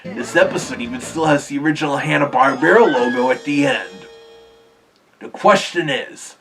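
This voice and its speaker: A man's voice: medium pitch, animated, normal speed, creaky, fairly bright tone, far from the mic. A man hosting a cartoon history video, earnestly explaining in scripted narration.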